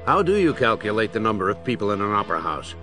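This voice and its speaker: A man's voice: lowish voice, sing-song, fast. An actor playing an earnest, puzzled male police commissioner in an audio drama. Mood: reasoning. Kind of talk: full-cast audio drama acting. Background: dramatic music.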